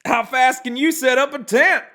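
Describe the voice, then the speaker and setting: An actor playing a cheerful, shady weapons dealer in a comedic audio drama, with chummy, folksy sales patter. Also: male voice, very high-pitched, normal speed, over loud music. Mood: sly, folksy.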